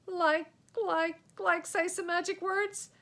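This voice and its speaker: A woman's voice: extremely high pitch, fairly even intonation, takes it slowly, pushed and bright, voice pushed up, heavily acted. A woman voicing a blunt, bossy, impatient young boy in a children's storybook, with an urgent delivery. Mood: panicked, bossy. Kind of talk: children's storybook read aloud.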